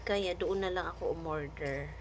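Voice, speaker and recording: A woman's voice: on the low side, normal speed, background noise. A Filipino woman chatting casually in an unboxing vlog, thinking aloud and mixing in English words. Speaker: vlogger.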